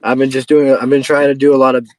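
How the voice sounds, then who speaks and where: A man of twenty hosting a casual podcast chat, giving a life update with halting speech and frequent restarts. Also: medium pitch, flat delivery, darkish tone, dry recording, rough recording. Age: 20s. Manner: halting, restarts sentences often. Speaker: podcast host.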